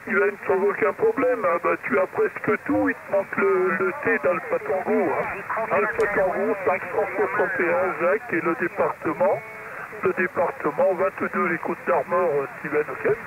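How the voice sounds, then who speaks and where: A man's voice: high-pitched, steady tone, normal speed. A man chatting casually as a radio operator, heard through a shortwave radio transmission. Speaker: radio operators on the receiving end. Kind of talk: casual amateur radio contact chat. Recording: tinny, very noisy CB radio reception.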